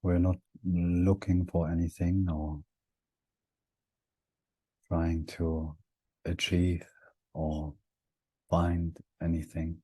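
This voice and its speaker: Very slow, deep-voiced man guiding meditation. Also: flat delivery, breathy edge, no echo, poor audio. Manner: gentle, hushed, with long pauses between short phrases. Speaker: meditation guide. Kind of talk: guided meditation.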